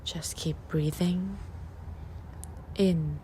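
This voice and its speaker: Low, very slow woman guiding meditation. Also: steady tone, mellow, slight background noise. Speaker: meditation guide. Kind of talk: guided meditation, slow spoken instructions. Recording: faint outdoor nature ambience. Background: faint nature ambience.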